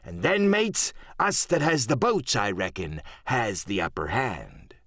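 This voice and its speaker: Audiobook pirate sea cook: cunning, folksy, swaggering, highly animated delivery, close dry recording. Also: male voice, a little slow, no echo, exaggerated voice. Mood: sly, boastful. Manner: rough sailor talk, folksy and scheming. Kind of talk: audiobook character performance.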